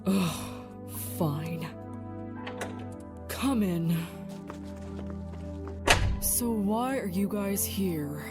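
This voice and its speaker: Casting: woman as hot-tempered female agent, curt, stern, scolding. Mid-range voice, audio drama, music bed clearly present. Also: medium pitch, lively intonation, extremely slow, pauses often. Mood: exasperated.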